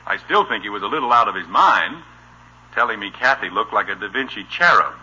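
An exasperated American suburban father in an old radio comedy. His delivery is dry, blustering and very forceful, with lively pitch. The broadcast sounds dull and dated. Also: a little fast, husky, exaggerated voice. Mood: worried, irritated. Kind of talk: 1950s radio sitcom acting.

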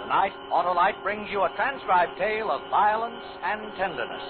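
A man's voice: high-pitched, expressive, normal speed. A man announcing a 1950s radio thriller in a formal, dramatic, scripted style. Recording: thin, narrowband 1950s radio recording. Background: loud dramatic music.

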